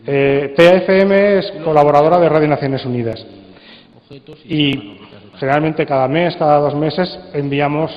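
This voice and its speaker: A man with a Spanish accent giving an informal conference talk, conversational and putting rhetorical questions to his listeners. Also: on the high side. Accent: Spain. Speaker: conference speaker on radio drama. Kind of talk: informal spoken presentation. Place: large conference hall. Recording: muffled, echoey hall sound.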